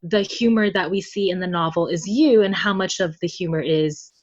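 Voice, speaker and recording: A woman being interviewed about her translation work, animated and expressive, laughing often as she tells stories. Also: fairly low pitch, moderate pace. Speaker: guest translator. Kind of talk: casual interview chat. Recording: slightly dull lo-fi sound.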